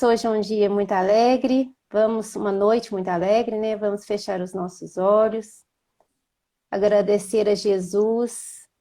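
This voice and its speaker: Mellow-voiced woman from Minas Gerais. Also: medium pitch. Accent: Minas Gerais Portuguese. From Minas Gerais, Brazil. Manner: slow, gentle and devout when praying. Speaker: host. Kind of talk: casual chat and spoken prayer on a live video call. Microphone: video call.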